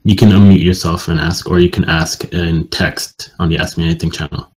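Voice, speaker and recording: A man moderating an informal online Q&A, putting his questions in a halting, loosely phrased way. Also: deep voice, fairly even intonation, a little mellow, poor audio. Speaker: interviewer and Q&A moderator. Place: online group call.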